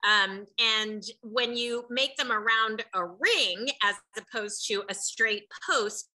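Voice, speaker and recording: A woman in her fifties, a guest artist, talking casually and thoughtfully on a video call with frequent pauses. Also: fairly high pitch, sharp tone. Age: 50s. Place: large bright studio.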